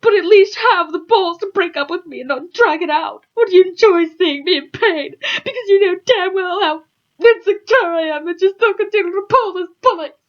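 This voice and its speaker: A woman's voice: very high voice, moderate pace, muffled recording, pitched above the performer's own voice. A woman voicing a hurt, insecure male lover in a bitter, accusatory outpouring, in an amateur story reading. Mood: hurt, tearful anger. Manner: wounded, loud, accusatory outpouring.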